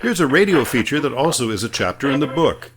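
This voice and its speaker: A man's voice: mid-range voice, sing-song, fast talker, background noise. An American man narrating a scripted radio documentary in a wry, folksy storytelling manner. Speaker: radio feature narrator and author.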